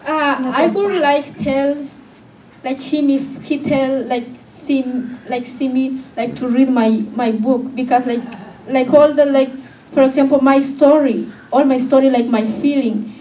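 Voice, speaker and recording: A young woman, a native Spanish speaker, answering questions at a public event in halting English full of fillers. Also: high-pitched, steady tone, dark tone. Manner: hesitant, filler-heavy non-native English. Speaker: author sharing her story. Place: community media event room. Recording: distant, echoey with faint noise.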